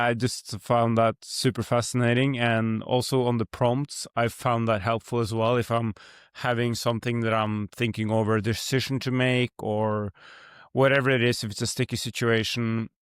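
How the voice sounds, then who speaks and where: Norwegian guy hosting a podcast, pretty monotone, smooth clean voice, rambly and thoughtful. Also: mid-range voice, normal speed, no room sound, studio quality. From Norway. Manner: reflective, rambling, many fillers and restarts. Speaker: podcast host. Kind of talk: casual podcast conversation.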